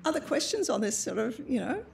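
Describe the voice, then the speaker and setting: Fast-talking woman with gravelly fry. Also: fairly high pitch, very animated, slight background noise. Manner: quick, conversational, plain-spoken. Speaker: panel moderator, obstetrician. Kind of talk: panel discussion.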